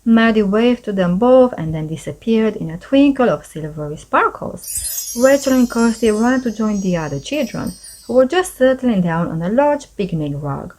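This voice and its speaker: Woman reading children's stories, very lively intonation. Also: a little high, moderate pace, rough recording. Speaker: storybook narrator, channel host. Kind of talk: scripted children's story narration, read aloud.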